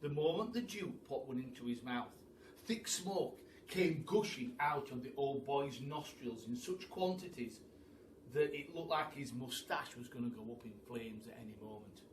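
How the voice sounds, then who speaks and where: A man giving an animated, theatrical storybook reading for children. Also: mid-range voice, normal speed. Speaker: primary school storyteller. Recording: roomy echo, distant mic, faint noise.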